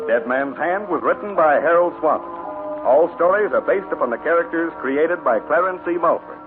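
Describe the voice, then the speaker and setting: A man's voice: fairly high pitch, expressive, normal speed, phone-quality sound. An American man reading a scripted sign-off as announcer of a 1950s radio show. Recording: thin old radio transcription with music. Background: music.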